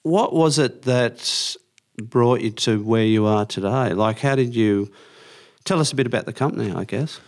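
A man hosting a podcast in a studio, asking relaxed, short interview questions with plenty of fillers. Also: mid-range voice, moderate pace. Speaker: podcast host. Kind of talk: casual interview.

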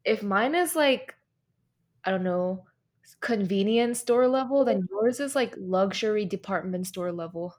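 A young woman from the United States co-hosting a podcast, chatting casually in an animated, exclamatory way with laughs. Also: mid-range voice, measured pace. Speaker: podcast co-host. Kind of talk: casual chat.

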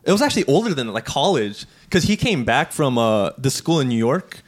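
A young man in his twenties from Southern California chats as a podcast guest. He talks quickly and excitedly, with a lively, higher voice that jumps around. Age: 20s. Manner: excitable, slangy. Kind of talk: casual podcast chat.

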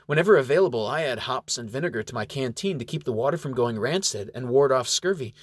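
A man's voice: mid-range voice, expressive, fast talker, dry recording, clean studio sound, lightly acted. A man voicing a prim, learned woman ranger who explains things in a lecturing tone. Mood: explaining. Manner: lecturing, matter-of-fact.

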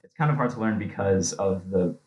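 Low-voiced young man, chatty. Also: a little fast, darkish tone, highs cut off. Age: 20s. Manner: chatty, laughs often. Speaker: interview guest. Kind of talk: casual conversation.

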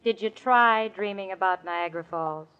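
An actress playing a sensible, exasperated young roommate in a 1940s radio comedy, with a wry, theatrical delivery. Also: female voice, medium pitch, normal speed, clean tone, close to an everyday voice. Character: exasperated young woman roommate, sensible, sarcastic, long-suffering. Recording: muffled, noisy old radio broadcast recording. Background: studio audience, hiss.

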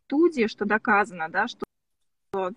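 A woman's voice: medium pitch, lively intonation, normal speed, fairly bright tone, husky, highs cut off. A woman interviewing a guest, hesitant and searching for words with frequent restarts. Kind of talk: casual interview over video call.

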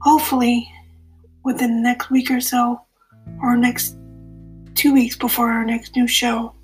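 A woman's voice: on the high side, level tone, measured pace, faint music behind. A woman hosting a podcast, recording a casual update to her listeners while sick with a virus. She talks apologetically and halts often with restarts. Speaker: podcast host.

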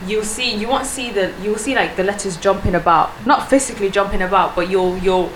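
A woman's voice: mid-range voice, fairly quick, fairly bright tone, roomy sound, slight background noise, rough recording. A young woman whose first language is Swedish, chatting casually as a guest with lots of fillers and acted-out reactions. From Sweden. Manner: chatty, many fillers, acts out her own past reactions. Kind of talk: casual chat.

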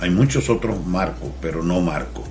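A man's voice: deep voice, lively intonation, moderate pace, darkish tone, a little hiss or hum. A man from Venezuela with a Venezuelan accent, a radio guest earnestly explaining mental health. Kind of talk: radio interview.

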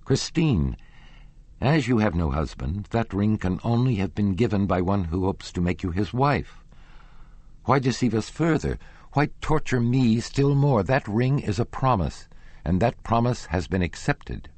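Storybook young aristocrat, played by a male narrator: lovesick, impassioned, formal, with a husky edge. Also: fairly low pitch, sing-song, a little slow, darkish tone, no echo, voice pushed up. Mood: anguished accusing. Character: anguished, pleading young male lovesick nobleman.

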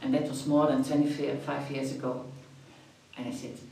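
A woman's voice: very deep voice, level tone, normal speed, creaky, warm tone, very echoey, far from the mic, slight background noise, low-quality recording. A Dutch woman in her fifties, chatty, answering interview questions and often restarting her phrases. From Netherlands. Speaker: after-school activities coordinator.

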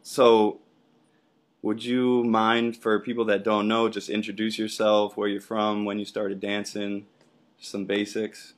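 Low flat-voiced guy interviewing another dancer, super laid back, lots of like and you know. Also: slow pace. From Washington DC area. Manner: laid-back, filler-heavy. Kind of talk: casual interview.

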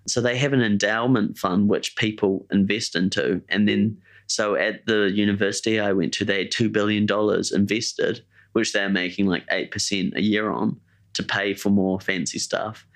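A young man with a New Zealand accent talks in a fairly low, somewhat monotone voice. His delivery is laid-back and rambling, with plenty of "like" and "eh" as he chats on a podcast. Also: moderate pace. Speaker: podcast co-host. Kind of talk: casual chat.

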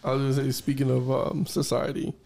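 A man's voice: medium pitch, monotone, a little fast, vocal fry, darkish tone. A man from a Dominican family, born and raised in the United States, co-hosting a casual podcast, hesitant and often restarting as he asks questions. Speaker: podcast co-host. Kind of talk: casual chat.

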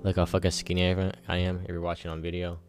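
A man's voice: sits low, monotone, fast, faint music behind. A twenty-one-year-old man hosting a solo podcast, rambling casually with lots of swearing and pep-talk energy. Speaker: podcast host.

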